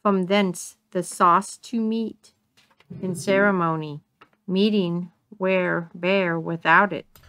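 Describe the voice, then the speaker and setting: A woman playing a commanding, scornful queen in a Shakespearean dramatic reading, in deliberate, declamatory verse. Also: on the low side, fairly even intonation, fairly bright tone, a little hiss or hum. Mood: gracious. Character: commanding, scornful queen covering for her husband. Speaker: amateur actor in a library's dramatic reading.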